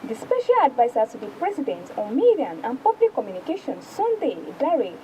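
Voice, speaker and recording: A woman's voice: high-pitched, huge pitch swings, brisk, mellow, dull audio, a little hiss or hum. A woman with a Nigerian accent presenting a newspaper review, reading formally aloud with occasional stumbles. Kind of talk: news reading and paper review.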